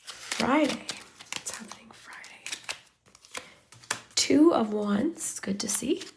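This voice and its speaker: Hoarse woman reading tarot cards. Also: a little high, lively intonation, slow. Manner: chatty, talks straight to viewers, drops to a whisper at times. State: losing her voice, hoarse from illness. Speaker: tarot reader. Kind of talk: pick-a-card tarot reading to camera.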